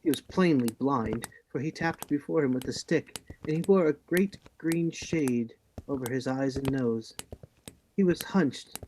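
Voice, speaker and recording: A man playing the narrator of a radio play reading, telling a boyhood adventure in a measured, suspenseful way. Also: mid-range voice, darkish tone, a little hiss or hum, lightly acted, rough recording. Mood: grave, suspenseful. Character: grown man recounting his boyhood sea adventure.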